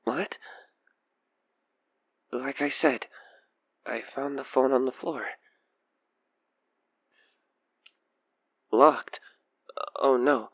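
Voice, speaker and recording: Obsessed male killer for audio roleplay, played by a man: manipulative, coaxing, unhurried, whisper-prone, recorded very dry. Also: mid-range voice, fairly even intonation, no echo. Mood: scared, shaken. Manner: hesitant, halting, breathy sighs and whispers sliding into menace. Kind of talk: intimate audio roleplay acting addressed to the listener.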